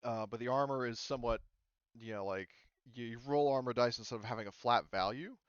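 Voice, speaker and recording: A man running a tabletop roleplaying game, casually explaining rules in a halting, rambling, self-interrupting way. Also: mid-range voice, smooth, dry recording, highs cut off. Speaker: game master.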